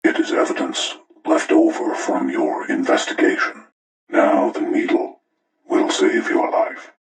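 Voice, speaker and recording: A cold older killer in a horror game, with an extremely deep, breathy rasp. He speaks with ominous deliberation, like a judge handing down sentences. The voice rings with echo. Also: full character voice. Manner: deliberate, ominous, coldly moralizing. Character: cold, menacing older male serial killer mastermind setting deadly games. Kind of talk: sinister villain monologue for a horror video game.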